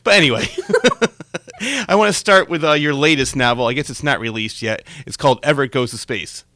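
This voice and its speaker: A man's voice: a little high, lively intonation, normal speed, pushed and bright, no echo. A man hosting an interview show, introducing and questioning a guest. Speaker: talk show host.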